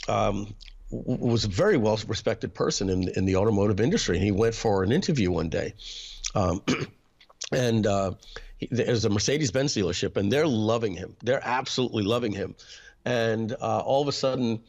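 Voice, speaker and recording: A man, telling a personal story as an interview guest, hesitant, with frequent throat clearing and filler words. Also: fairly low pitch, moderate pace, darkish tone. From New York. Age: 50s.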